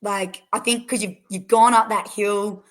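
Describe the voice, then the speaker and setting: A young woman with an Australian accent, her voice hoarse and croaky, chatting as a guest on a podcast with lots of fillers and restarts. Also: mid-range voice, fairly even intonation, unhurried. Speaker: race winner guest. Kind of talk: casual podcast interview.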